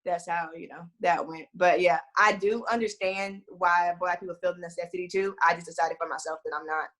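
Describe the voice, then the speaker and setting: A woman's voice: on the low side, fairly even intonation, brisk, fairly bright tone. A woman in her late twenties with a Texas accent, talking candidly and firmly in casual conversation. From Houston, Texas.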